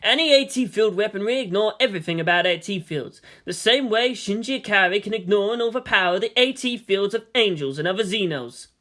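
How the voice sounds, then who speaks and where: A man voicing a grave male general, measured and solemn, in a dramatic story reading. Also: high-pitched, animated, sharp tone. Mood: grim, solemn.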